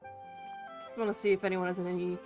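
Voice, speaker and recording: A woman's voice: fairly low pitch, little pitch movement, normal speed, over music. A woman live streaming a video game with casual, blunt, sweary, informal commentary.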